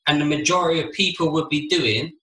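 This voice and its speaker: Bright-voiced man in an echoey room. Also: a little high, quick pace. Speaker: podcast co-host. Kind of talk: casual argumentative chat. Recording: roomy, echoey room sound.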